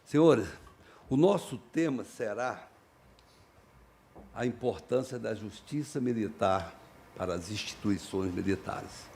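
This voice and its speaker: Gravelly man giving a formal address. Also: lowish voice, a little mellow, slight background noise. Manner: measured, rising to emphatic stresses. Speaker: keynote speaker. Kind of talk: formal conference speech.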